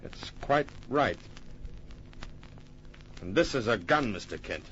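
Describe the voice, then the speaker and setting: An actor playing a suave, cold-blooded killer in an old radio crime drama, speaking politely but with deliberate menace, on an old muffled recording. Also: male voice, medium pitch, expressive, a bit bright, a little hiss or hum. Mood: coolly menacing. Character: suave, cold-blooded murderous impostor twin with a gun.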